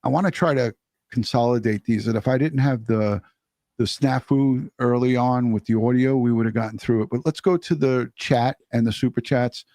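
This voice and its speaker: A man co-hosts a livestream and reads viewer messages aloud in a blunt, offhand way. He swears freely. His voice is mid-pitched and recorded dry, with no room sound. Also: moderate pace, mellow, no echo. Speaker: livestream co-host. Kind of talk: casual chat reading viewer messages.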